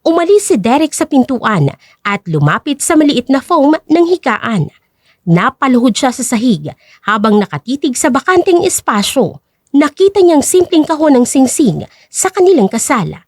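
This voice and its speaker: Woman narrating a drama story, highish voice w lots of pitch movement, super dry recording. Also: sing-song, moderate pace, a little mellow, no room sound.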